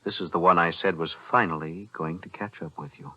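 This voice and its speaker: An actor playing a composed, principled lawyer with measured, firm delivery in a 1940s radio thriller. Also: male voice, sits low, steady tone, sharp tone. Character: composed, principled male lawyer, quietly resolute. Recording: muffled, noisy vintage radio broadcast.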